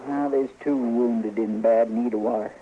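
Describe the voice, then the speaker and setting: An actor playing a folksy, excitable deputy with a rural Western American drawl in an old radio western. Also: male voice, mid-range voice, moderate pace, close to an everyday voice. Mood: dismayed. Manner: folksy, rustic, plaintive. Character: folksy, excitable cowboy deputy, loyal to his marshal. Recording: muffled, tinny, noisy old radio recording.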